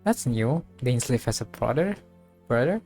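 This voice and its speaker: A man's voice: medium pitch, lively intonation, darkish tone. Man musing through game commentary, music behind. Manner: musing aloud, thinking through things haltingly. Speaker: game streamer. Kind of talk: casual playthrough commentary.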